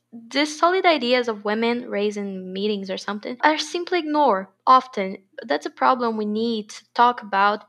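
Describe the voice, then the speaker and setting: A woman's voice: on the high side, a bit bright. Passionate Brazilian teen girl. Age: teens. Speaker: podcast guest. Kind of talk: interview answers.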